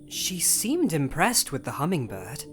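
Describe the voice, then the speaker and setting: A man's voice: fairly high pitch, wildly swooping pitch, unhurried, music in the background. A man playing a stubborn young pony glassblower, earnest and defensive, in an audio story. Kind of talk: audio story character dialogue.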